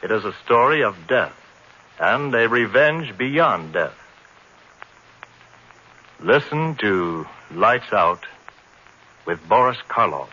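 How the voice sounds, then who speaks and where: Male 1930s radio announcer, formal dramatic diction, crisp bright projection, vintage muffled sound. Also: lowish voice, lively intonation, slow pace, long pauses. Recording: dull old radio recording.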